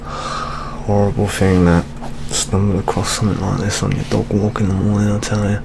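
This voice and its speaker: A young man playing a jaded, world-weary British police detective in an ASMR roleplay, speaking in a hushed, deliberate manner. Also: fairly low pitch, creaky. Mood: businesslike, sombre. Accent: British English. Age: 20s. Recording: steady rain ambience noise. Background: rain sounds.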